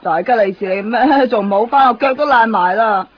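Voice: medium pitch, moderate pace, a crowd in the background, lightly acted. A performer voicing a skittish, nagging, easily frightened companion in a radio drama, with a Hong Kong Cantonese accent. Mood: teasing. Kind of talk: animated 1990s radio drama acting. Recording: dull 1990s radio recording, crowded background.

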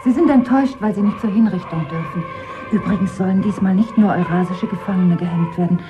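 A woman's voice: mid-range voice, brisk, muffled recording, music in the background, lightly acted. A woman playing a harried, chatty housewife and mother in a radio drama. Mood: chatty, nervous. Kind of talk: German radio drama acting.

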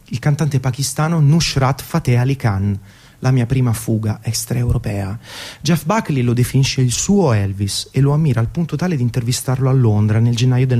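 Mellow-voiced man narrating on radio. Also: medium pitch. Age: 30s. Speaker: radio presenter. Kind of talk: scripted narration of a music documentary monologue. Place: radio broadcast.

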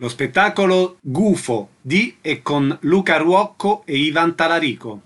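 A man's voice: a little high, expressive, a little slow, fairly bright tone. A man reading a scripted announcement as a radio announcer.

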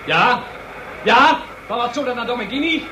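A man as an excitable television football commentator, calling the match live. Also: very high-pitched, moderate pace. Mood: excited. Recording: roomy, distant, very noisy stadium crowd broadcast. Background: loud stadium crowd.

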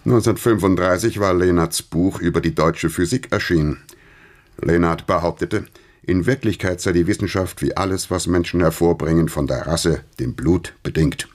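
A very deep, husky voice for a grave older physics professor in a radio drama. He speaks with measured deliberation and pointed emphasis, like a man recalling dark times.

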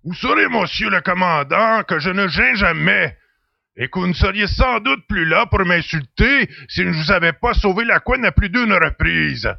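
A man playing a cranky, theatrical ship's computer with an old pirate's raspy, creaky voice in an audio drama. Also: high voice, lively intonation, moderate pace, dry recording, highs cut off. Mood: indignant. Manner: grumbling, grandiloquent, teasing.

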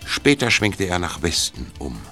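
A man playing the storyteller of a detective audio drama, narrating from a script in a measured, knowing way. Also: low voice, very animated, bright, forceful tone, faint music behind, full character voice. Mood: measured, suspenseful.

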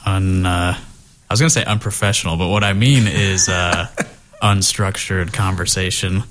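A relaxed male radio host chats loosely from a studio, chuckling as he goes. His voice sits somewhat low. He speaks into a close studio microphone. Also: normal speed, slight background noise. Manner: laid-back, chuckles often. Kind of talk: casual radio chat.

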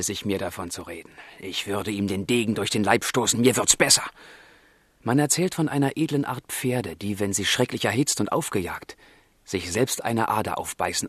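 A man's voice: fairly low pitch, fairly bright tone, slightly rough, dry recording. An actor playing a passionate, tormented young man in a literary radio drama, speaking in formal, intense language. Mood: bitter, agitated. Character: passionate, tormented young man of feeling, letter-writing lover. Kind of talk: German literary radio drama, monologue and dialogue.

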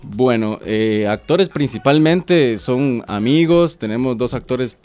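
A man's voice: medium pitch, lively intonation, takes it slowly, darkish tone, smooth, faint music behind. A man from Costa Rica chatting casually as a radio interview guest, colloquial, modest and a little hesitant. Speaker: interview guest, web series maker.

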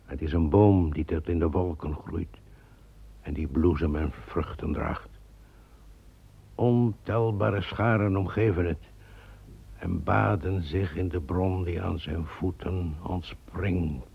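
A man in a radio play voicing a solemn man who speaks in grave, measured sentences. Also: low-pitched, steady tone, gravelly, breathy edge, heavily acted. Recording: dull old radio recording.

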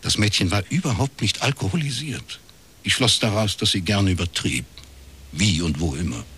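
An actor playing a reserved middle-aged detective in a radio crime drama, speaking in a dry, laconic way. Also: male voice, lowish voice, expressive, moderate pace, pushed and bright, slight background noise. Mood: dry, reflective. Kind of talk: first-person radio crime drama narration and dialogue.

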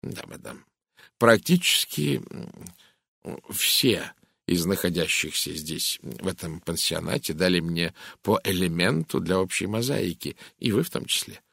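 Мужчина играет учтивого методичного сыщика, низкий скрипучий голос. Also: male voice, deep voice, animated, gravelly, a bit bright, husky, no echo, studio quality, exaggerated voice. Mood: courteous, sly. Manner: courteous, measured, deliberate. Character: polite, methodical little Belgian male detective. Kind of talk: audiobook character voice.

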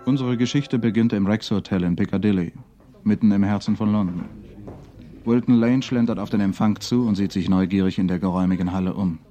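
An actor as the calm, observant narrator of a 1950s mono radio crime drama, measured and scene-setting. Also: male voice, fairly low pitch, dull audio, faint music behind, natural voice.

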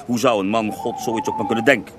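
Radio play casting: self-justifying holy man, pious and aggrieved, low and animated, over clear background noise. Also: quick pace. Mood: indignant. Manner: devout, wounded, self-justifying. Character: pious, self-righteous Russian mystic healer, a grown man. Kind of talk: radio drama acting, mock interview.

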